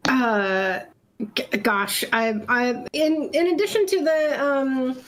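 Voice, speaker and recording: A high-voiced woman chats as a comedy panelist. She talks slowly and casually with some hesitation, over background noise. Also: a bit bright, low-quality recording.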